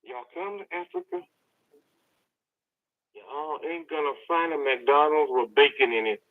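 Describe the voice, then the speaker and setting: A man voicing a brash young man who is defiant about his African roots, heckling and swooping all over his pitch. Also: animated, unhurried. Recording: tinny phone-like livestream audio.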